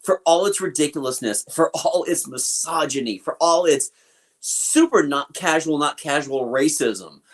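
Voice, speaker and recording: A man's voice: a little high, a little slow. A man co-hosting a podcast, chatting casually about a film and riffing with plenty of fillers and opinions. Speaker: podcast co-host. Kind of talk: casual film review chat.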